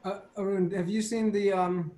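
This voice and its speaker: A man's voice: high-pitched, steady tone, normal speed, dark tone. A man chatting casually in a group discussion over a video call.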